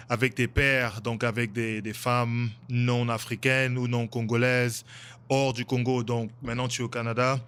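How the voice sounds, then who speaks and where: A man hosts a casual interview podcast in a middle-pitched voice. He asks his questions loosely and hesitantly, often restarting a phrase before he finishes it. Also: fairly bright tone. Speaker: podcast host.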